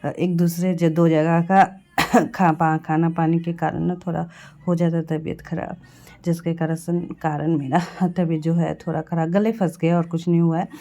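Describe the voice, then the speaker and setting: A young woman from Bihar with a sore throat, chatting through a travel vlog voice-over and clearing her throat and coughing along the way. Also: lowish voice, level tone, moderate pace, dark tone. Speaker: vlogger.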